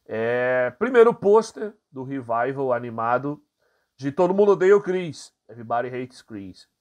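A man's voice: medium pitch, very animated, normal speed. A man with a Brazilian Portuguese accent hosting his channel, reading entertainment news aloud and commenting casually with frequent fillers. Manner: casual, many fillers, reads articles aloud then comments. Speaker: channel host.

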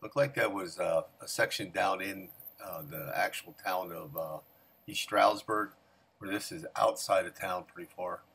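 A middle-aged man giving casual, excited commentary while fishing outdoors by a creek, recorded on a head-mounted action camera. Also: low-pitched, vocal fry, husky. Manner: excited exclamations, folksy talk. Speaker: fishing vlogger. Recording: outdoor action camera with loud water noise. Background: rushing water noise.

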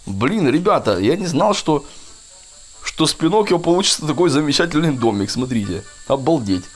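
Chatty guy doing a casual gameplay video for kids, animated pitch, music behind him. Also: moderate pace. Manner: chatty, addresses viewers often. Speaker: gaming video host. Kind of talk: casual gameplay commentary for children.

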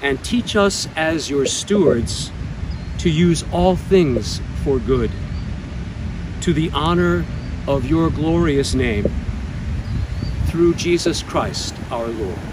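A man's voice: a little high, lively intonation, slow, pauses often. American bishop in his sixties, noisy outdoor recording. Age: 60s. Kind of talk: scripted pastoral announcement to camera. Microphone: lapel mic. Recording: clear outdoor traffic and ambient noise.

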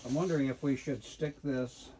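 A man's voice: medium pitch, fairly even intonation, normal speed. A man showing someone around his rural home, talking bluntly and swearing casually. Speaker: homeowner. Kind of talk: casual chat. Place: outdoors at a rural homestead, then in a cluttered kitchen. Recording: clear background noise.

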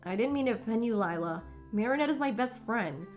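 A woman's voice: a little high, animated, brisk, darkish tone, faint music behind, close to an everyday voice. A woman voicing an eager, loyal teenage best friend in a fan comic dub. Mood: apologetic.

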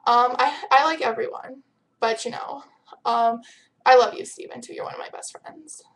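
A woman's voice: fairly high pitch, steady tone, moderate pace, fairly bright tone, rough recording. A teenage girl chatting casually on her webcam at home, reading out viewer questions with a giggly, teasing manner. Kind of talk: casual chat. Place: home room with bright window. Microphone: built-in webcam mic.

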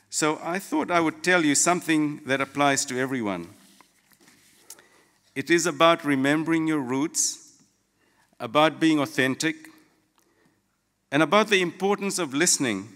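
A middle-aged man from Fiji giving a formal, prepared graduation address in measured, deliberate phrases with pauses, at a podium microphone in a large auditorium. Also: on the high side, a bit bright. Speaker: graduation guest speaker, lawyer. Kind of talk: prepared formal ceremony address, read from notes. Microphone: gooseneck podium microphone. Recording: long hall reverb.

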